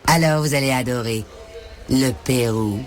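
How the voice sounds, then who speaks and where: Bossy calculating business villainess, game cutscene, theatrical and really unhurried. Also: female voice, extremely low pitch, faint music behind. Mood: enticing, calculating. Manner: commanding, deliberate, theatrical. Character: imperious, calculating businesswoman villain, coolly seductive. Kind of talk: video game cutscene dub acting.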